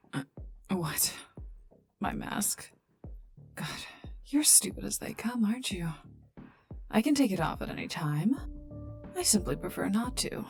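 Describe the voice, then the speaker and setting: A woman's voice: medium pitch, gravelly. A woman playing a haughty, prickly poisoner agent in an ASMR roleplay, dry and sarcastic, often dropping near a whisper. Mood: irritated, scornful. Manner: dry, sarcastic, scornful, unhurried. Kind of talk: ASMR roleplay addressed to listener. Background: calm ambient game music.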